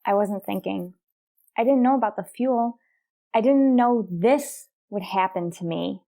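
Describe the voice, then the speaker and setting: Young woman acting scared stowaway girl in a radio play, medium pitch, emotional, stumbles over words, wistful. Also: mellow, natural voice. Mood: wistful, resigned. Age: young adult. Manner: halting, pleading, emotional.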